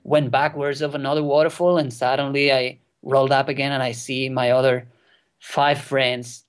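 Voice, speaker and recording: A young man in his twenties, a non-native English speaker whose first language is Catalan, telling a wild kayaking story as a guest, excited and swearing freely. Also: mid-range voice, moderate pace, smooth. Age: 20s. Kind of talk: casual storytelling interview. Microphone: remote call.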